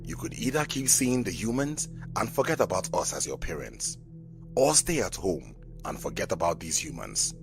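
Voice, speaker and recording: A man's voice: low voice, very animated, moderate pace, creaky, over music, full character voice. A man voicing a stern, commanding mermaid queen and mother in an audio folk tale. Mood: stern ultimatum.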